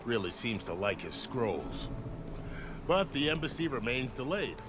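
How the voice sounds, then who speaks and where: An actor playing a genial, wry second-in-command army officer in a video game, courteous and gently teasing. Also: male voice, mid-range voice, big pitch swings, a little slow. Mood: wry, explanatory. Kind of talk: video game cutscene acting. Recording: music behind the voice.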